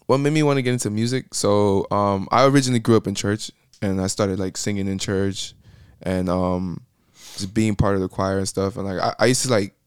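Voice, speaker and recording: A man's voice: on the low side, fairly even intonation, fairly quick, smooth, no room sound, studio quality. A young man from Miami, a podcast guest, talking casually and rambling with lots of fillers. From Miami, Florida. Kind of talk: casual interview chat.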